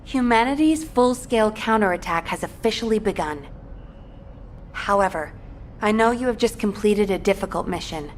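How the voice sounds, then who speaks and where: A woman playing a dutiful military operations officer in a video game, speaking in a formal, measured, earnest way. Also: mid-range voice, slight background noise, natural voice. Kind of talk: video game mission briefing.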